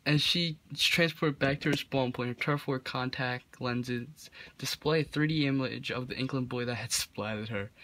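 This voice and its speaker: A man's voice: medium pitch, fairly bright tone, rough recording. Man reading fan fiction with amusement. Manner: breaks into giggles, stumbles over the text. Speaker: host of a comedy channel.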